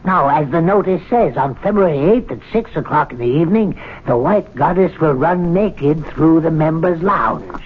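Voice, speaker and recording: Scheming old servant, sly, resentful, husky, tinny radio sound. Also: male voice, a little high, animated, moderate pace, few pauses. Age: older. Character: spiteful older male club servant, gleeful prankster. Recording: tinny 1970s radio broadcast.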